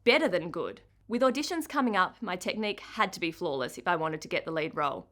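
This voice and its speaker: A woman with an Australian accent, an author giving an expressive first-person reading of her children's book. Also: fairly low pitch, fast.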